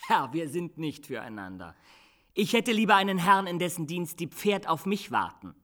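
An actor playing a bold, quick-witted young soldier lad who boasts pertly in a radio drama. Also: male voice, fairly high pitch, sing-song, fairly bright tone. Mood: cheeky, boastful.

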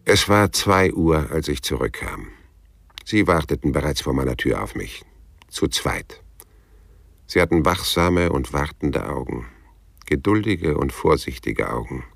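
An actor playing a world-weary, wry private detective in a German crime radio play, laconic and sardonic, with a deep, gravelly, husky voice. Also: male voice, very animated, unhurried, a bit bright, full character voice. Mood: brooding narration. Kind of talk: German radio play acting and first-person crime narration.

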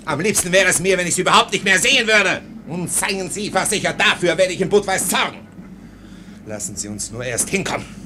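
An actor playing an exasperated, hot-tempered army first lieutenant in a German radio play, barking orders and sputtering. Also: male voice, sits high, lively intonation, fairly quick, background noise. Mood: bitter, threatening.

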